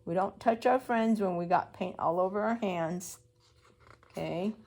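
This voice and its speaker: A woman's voice: medium pitch, measured pace, a little mellow. A woman preschool teacher reading a story aloud to young children, explaining as she goes and asking simple questions.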